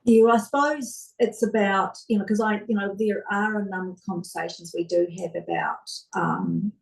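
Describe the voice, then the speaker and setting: A woman ward councillor speaking hesitantly in a council committee meeting, her voice croaky. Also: mid-range voice, fairly even intonation, normal speed, far from the mic, poor audio. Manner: hesitant, searching for words. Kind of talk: committee discussion.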